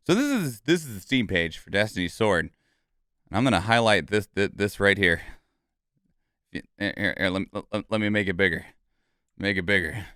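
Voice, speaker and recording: A man's voice: lowish voice, fairly bright tone, clear, resonant tone, dry recording, studio quality. A man giving casual, opinionated game commentary as a streamer, speaking emphatically and often checking in with short tag questions. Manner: emphatic, frequently tags statements with "okay?" and "right?"; swears casually.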